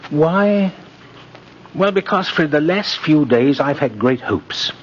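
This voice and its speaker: A kindly old Santa Claus figure, with a fairly high, lively voice. He speaks with genteel, theatrical emphasis, as in 1950s radio drama. The recording is muffled with a faint layer of noise. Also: slow pace. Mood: earnest, disillusioned. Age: older.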